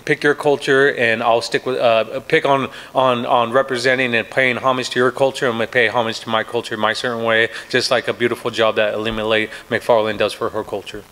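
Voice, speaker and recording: A man's voice: medium pitch, flat delivery, a little fast, hardly any pauses, pushed and bright. A man, a pro fighter, answering reporters at a press day, confident and full of restarts and "you know"s. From United States. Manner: confident, trash-talking, many restarts and fillers.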